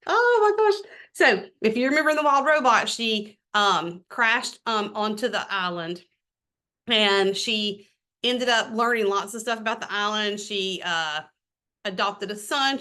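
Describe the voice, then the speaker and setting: A woman hosting a children's read-aloud, expressive and enthusiastic, talking straight to her young listeners in a casual way. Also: a little high. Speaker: host of a children's book read-aloud show. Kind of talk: reading a children's novel aloud with casual chatty asides.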